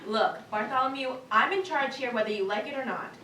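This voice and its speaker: A woman's voice: high voice, lively intonation, brisk, sharp tone, far from the mic. A young woman acting the part of a bossy, insecure new boss in a staged reading of a cartoon script. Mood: exasperated, stern. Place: live staged reading in a room. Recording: echoey room from afar, faint noise.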